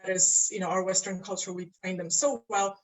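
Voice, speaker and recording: A woman from Estonia speaks as an interview guest, earnest and unhurried, often pausing to find her words. She talks over a video call in a relaxed, conversational way. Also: medium pitch, low-quality recording. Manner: earnest, searching for words, laughs easily. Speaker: astrologer and coach guest. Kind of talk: casual interview.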